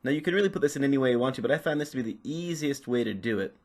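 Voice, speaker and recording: A man's voice: mid-range voice, expressive, rapid-fire, darkish tone. A man giving casual, unscripted step-by-step instruction as he works with his hands. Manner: unscripted, narrating while working. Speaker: repair tutorial presenter.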